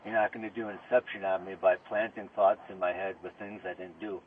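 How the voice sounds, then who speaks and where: A man under arrest talking informally with police, insistent and protesting, halting and often repeating himself. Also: deep voice, little pitch movement. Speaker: arrested murder suspect. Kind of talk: informal back-and-forth with police during arrest. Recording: noisy, tinny police audio recording.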